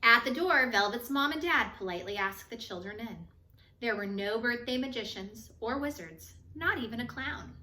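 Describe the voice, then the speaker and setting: A woman reading a children's picture book aloud in an expressive, measured storytelling manner, with phrases that trail off softer. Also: fairly high pitch. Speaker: storybook reader. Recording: echoey room.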